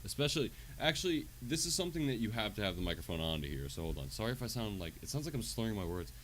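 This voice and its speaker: A man with a deep, low-pitched voice talks casually, as a livestreamer would. His delivery is rambling and he often cuts himself off mid-thought while walking listeners through a technical demonstration. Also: very animated, rapid-fire, fairly bright tone, a little hiss or hum. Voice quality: naturally deep voice. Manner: rambling, self-interrupting.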